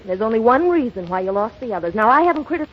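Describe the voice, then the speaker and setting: A woman's voice: fairly high pitch, sing-song, fast talker. An actress in 1930s radio drama style, playing a self-possessed, sophisticated young society woman with a poised, coolly romantic manner. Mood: defiant. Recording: muffled, noisy vintage 1930s radio broadcast.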